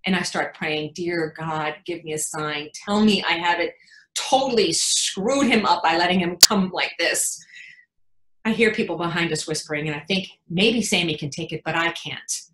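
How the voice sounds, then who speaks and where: A woman playing an anxious, protective mother in a frank, wry, confiding dramatic monologue, pausing for emphasis. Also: fairly low pitch, fairly bright tone, natural voice. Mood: earnest, anxious. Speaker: actor in a readers theatre performance. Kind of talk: dramatic stage monologue, conversational storytelling. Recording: echoey room sound.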